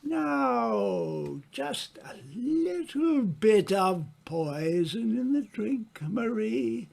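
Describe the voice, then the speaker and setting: A man playing a sinister, gloating undying author in an old-time radio play, slow and insinuating in his theatrical delivery. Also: extremely high pitch, sing-song, gravelly, a little mellow, exaggerated voice. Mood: eerie, coaxing.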